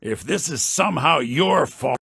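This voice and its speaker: An actor playing a sly, smug, self-serving merchant in a fantasy video game, with a theatrical, condescending delivery. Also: male voice, lively intonation, measured pace, creaky, pushed and bright, slightly rough, dry recording, clean studio sound, full character voice. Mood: smug, evasive. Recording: low-bitrate game audio rip.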